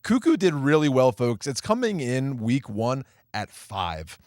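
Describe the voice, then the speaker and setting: A man's voice: mid-range voice, very animated, unhurried, darkish tone, no room sound, clean studio sound. Wry, quippy male podcast host. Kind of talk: casual movie review chat.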